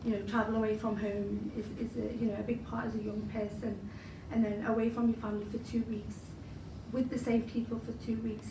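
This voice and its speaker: Scouse woman, roomy and distant. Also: fairly high pitch. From Liverpool, England. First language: Arabic. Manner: chatty, frequent fillers and you-knows. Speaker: interviewee, spoken word poet. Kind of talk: casual interview answers. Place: large event hall. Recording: roomy hall recorded from a distance with noise.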